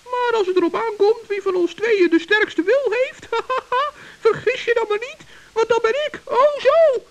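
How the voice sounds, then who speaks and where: A woman's voice: very high voice, a little slow, a little hiss or hum. A woman voicing a cheerful, brave male forest gnome in an old children's radio play, chatty and earnest. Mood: chirpy.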